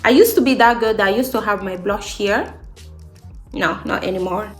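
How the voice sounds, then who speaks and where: A woman's voice: mid-range voice, normal speed, soft background music. A woman in her late twenties from Guinea, a beauty vlogger chatting casually in an upbeat, emphatic way, repeating phrases to encourage her viewers. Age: late 20s. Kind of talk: casual get-ready-with-me chat.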